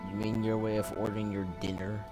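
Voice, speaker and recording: A woman's voice: very deep voice, little pitch movement, fast talker. A woman giving casual gameplay commentary with slangy, wry reactions. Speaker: gamer. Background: loud game music.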